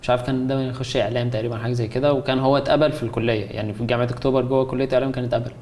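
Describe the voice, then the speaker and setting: A man's voice: mid-range voice, fairly even intonation, racing, darkish tone. A man in his twenties with an Egyptian accent, a guest chatting casually and hesitantly, with many fillers and restarts. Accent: Egyptian Arabic. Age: 20s. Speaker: guest, concept artist. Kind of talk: casual chat.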